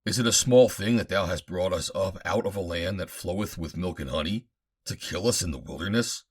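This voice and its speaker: Man playing a defiant, low-voiced rebel. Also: brisk, slightly rough. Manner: declamatory, accusing. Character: defiant, aggrieved male rebels challenging their leader.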